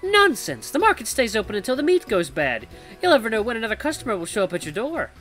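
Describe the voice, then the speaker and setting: A man voicing a sweet elderly granny shopkeeper, soft, doting and nosy, in a fussing, motherly game character voice. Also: sits high, huge pitch swings, quick pace, soft background music, voice pushed up, full character voice.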